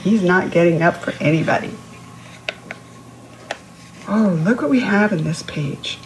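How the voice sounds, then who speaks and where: Unhurried woman reading to young children. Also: fairly low pitch, slight background noise, rough recording. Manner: instructive, asks the listener questions and explains words. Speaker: children's read-aloud host. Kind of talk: reading a picture book aloud to young children.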